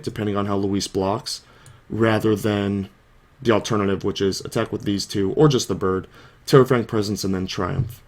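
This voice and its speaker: A young man with a sore throat, casually talking through a card game match over the footage, thinking aloud with lots of "like" and "you know". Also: on the low side. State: sore throat, coming down with a cold. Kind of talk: casual video commentary.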